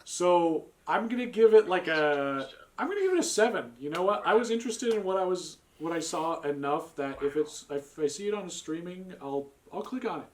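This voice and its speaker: High-voiced man chatting casually. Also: lively intonation, brisk, hardly any pauses, a little mellow. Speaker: podcast co-host. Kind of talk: casual group reaction chat.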